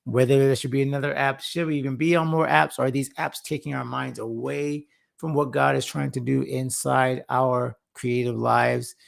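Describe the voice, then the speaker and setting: Male livestream host, mid pitch, gravelly and slightly hoarse, earnest and repetitive, casual talk to camera. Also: flat delivery, moderate pace. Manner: earnest, repeats words for emphasis, rambling asides. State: slightly hoarse after a long session. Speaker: host and founder of a faith-focused creative platform.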